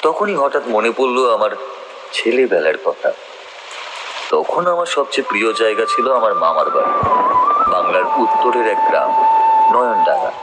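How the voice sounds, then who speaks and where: A man's voice: mid-range voice, wildly swooping pitch, normal speed, pauses often, very noisy. A man narrating a scripted story in the first person, in a dramatic storytelling style.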